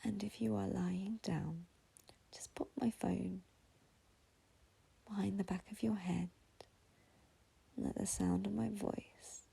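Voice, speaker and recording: Woman doing guided meditation, super breathy n husky, lots of creaky fry, slow n gentle w long pauses. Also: mid-range voice, steady tone. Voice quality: breathy, husky, half-whispered.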